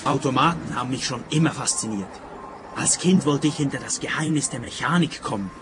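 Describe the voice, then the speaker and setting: An actor in a radio play playing a laconic, unambitious small-time machine tinkerer, terse and matter-of-fact. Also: male voice, mid-range voice, a little fast, lightly acted. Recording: clear outdoor background noise.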